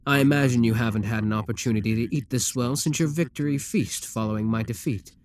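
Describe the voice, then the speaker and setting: A man's voice: mid-range voice, fast talker, darkish tone. A man playing a proud, seductive demon king in an ASMR roleplay, speaking in an imperious, courtly and theatrical manner. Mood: softly coaxing.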